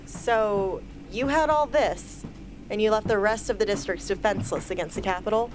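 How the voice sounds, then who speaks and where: A woman's voice: medium pitch, moderate pace, music in the background, lightly acted, low-quality recording. A woman playing an earnest, guarded teenage girl soldier in an amateur radio drama. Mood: challenging. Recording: hobby recording with ambient noise.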